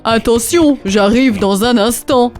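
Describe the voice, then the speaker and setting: A woman's voice: a little high, measured pace, a little mellow, close to an everyday voice. A woman voicing a bossy, playfully stern grown-up who minds little ones in a rhyming children's cartoon, scolding and instructing. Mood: teasing, bossy. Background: music.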